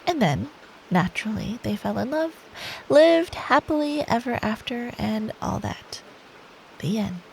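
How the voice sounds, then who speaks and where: A woman playing a sweet, caring, teasing girlfriend in an intimate ASMR roleplay, talking softly and breathily. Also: a little high, animated, a little hiss or hum, rough recording. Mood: playful, mock hurt. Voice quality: soft, breathy. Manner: slow, affectionate, playfully teasing. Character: sweet, teasing, caring girlfriend telling an improvised bedtime story.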